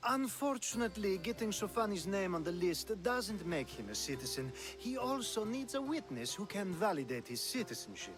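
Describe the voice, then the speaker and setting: A man's voice: high voice, big pitch swings, moderate pace, few pauses, over music. An actor playing a sly, charming ancient Greek nobleman in a video game, teasing and theatrical. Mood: smooth, scheming. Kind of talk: video game cutscene acting.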